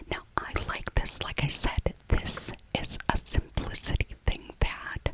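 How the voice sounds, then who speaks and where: A middle-aged woman whispering a casual, rambling ASMR shopping voiceover, softly repeating her phrases. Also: poor audio. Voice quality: soft unvoiced whisper. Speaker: ASMR creator.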